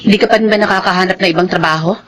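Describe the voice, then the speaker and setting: A woman's voice: medium pitch, rapid-fire, fairly bright tone, a little hiss or hum, natural voice. An actress playing a worried laundrywoman and mother in a radio drama, pleading and exasperated about money. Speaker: wife.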